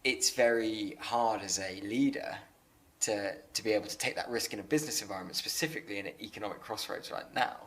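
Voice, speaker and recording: A man in a conversational interview, speaking earnestly and haltingly, often repeating words as he works through a thought. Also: lowish voice, a bit bright. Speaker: interviewer and business leader.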